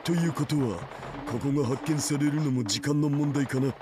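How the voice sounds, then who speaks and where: A man's voice: a little high, steady tone, rapid-fire, noisy background, close to an everyday voice. An actor voicing a grown man, a practical scientist father, in a visual novel, explaining technical matters matter-of-factly. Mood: serious, focused. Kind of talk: Japanese visual novel voice acting. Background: game music.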